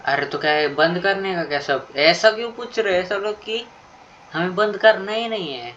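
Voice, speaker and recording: Rapid-talking Indian man, casual gamer commentary. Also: sits high, animated, fairly bright tone. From India, Maharashtra. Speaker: gameplay streamer. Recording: dull, lo-fi mic, faint noise.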